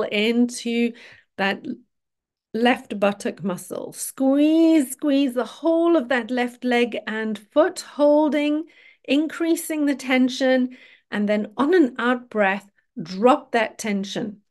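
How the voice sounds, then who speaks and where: Slow-paced, higher-pitched woman guiding relaxation. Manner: instructional, gently directive. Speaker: yoga nidra teacher. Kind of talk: guided relaxation meditation.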